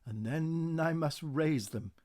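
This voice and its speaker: A man's voice: a little high, takes it slowly, a little mellow. A man voicing meek, henpecked ghostly husbands who recite dutifully by rote in a radio play. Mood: resigned. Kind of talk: radio play verse drama.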